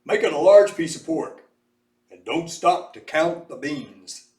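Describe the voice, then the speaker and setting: A man's voice: on the high side, lively intonation, a little slow, darkish tone, reverberant, far from the mic, pitched above the performer's own voice. A man voicing a big, brawny heroic fireman with a hearty, commanding way of calling out, in a storybook reading. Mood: hearty, assured. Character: big brawny heroic old New York volunteer fireman.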